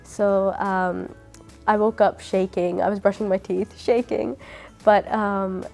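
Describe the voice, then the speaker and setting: A woman's voice: mid-range voice, flat delivery, slow pace, gravelly, a little mellow. A woman from the UK who studies dentistry, chatting through her interview answers with plenty of fillers. Her voice sits in a middle register with little melody. Faint music plays underneath. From United Kingdom. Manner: chatty, uses many fillers. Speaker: dentistry student.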